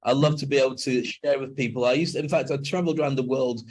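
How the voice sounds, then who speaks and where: An older man speaking as a guest on a video call interview, emphatic and animated when making a point. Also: medium pitch, fast. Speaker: guest, NLP trainer and speaker.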